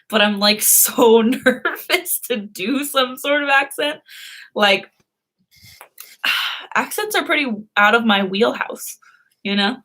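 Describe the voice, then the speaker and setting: A woman's voice: a little high, pushed and bright. A young woman hosting a casual live stream, who chats, laughs often and answers her viewers' comments.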